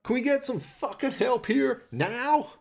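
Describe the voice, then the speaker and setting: A man's voice: very high-pitched, expressive, unhurried, creaky, pitched above the performer's own voice, full character voice. Man doing a swaggering, bossy tough guy. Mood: irritated. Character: swaggering, foul-mouthed tough guy gang leader.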